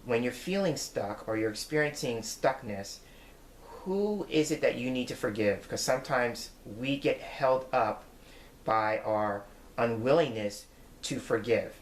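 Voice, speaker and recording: Man, forties, tarot reader, earnest and reflective, mid pitch, echoey room. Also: a little slow, a little hiss or hum. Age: 40s. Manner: earnest, reflective, explaining patiently. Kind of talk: weekly tarot forecast, explaining cards. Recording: roomy echo.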